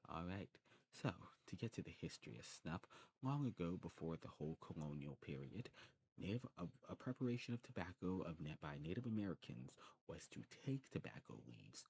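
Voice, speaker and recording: A man's voice: deep voice, very animated, fairly quick, a little mellow, slight background noise, rough recording. A man doing an ASMR role-play as an eager demon shopkeeper, explaining things in a rambling, informal way. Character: male demon shopkeeper of a snuff shop, eagerly welcoming.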